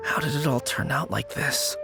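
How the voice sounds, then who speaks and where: A man's voice: fairly high pitch, huge pitch swings, gravelly, heavily acted. A man voicing a hesitant, wistful funeral parlor employee in a video game. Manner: slow, sighing, wistful. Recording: game music behind.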